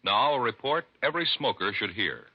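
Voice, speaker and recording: A man delivers an old-time radio advertisement with emphatic, animated phrasing. His voice is a little rough and unclear, on a dull vintage recording. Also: lowish voice, fairly quick. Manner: emphatic, formal salesmanship. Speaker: commercial announcer. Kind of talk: 1950s radio advertising announcement.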